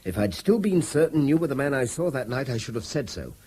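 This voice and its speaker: An actor playing a tense, principled schoolteacher in a radio drama, with a very husky, voice and a formal, uneasy manner. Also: male voice, mid-range voice, big pitch swings, brisk, warm tone. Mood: guarded, reasoned. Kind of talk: naturalistic radio drama acting.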